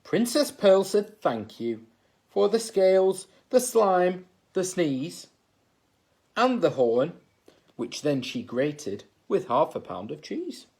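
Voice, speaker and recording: A man narrates a children's storybook in an expressive teacherly style. His pace is slow and measured, and his pitch rises and falls widely. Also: vocal fry. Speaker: assistant head teacher reading a bedtime story.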